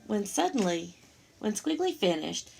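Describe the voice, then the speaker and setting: A woman reading a picture book aloud to young children, with expressive, simple asides as she goes. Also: mid-range voice, measured pace, gravelly, slightly rough, faint music behind, rough recording. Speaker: storytime reader for young children.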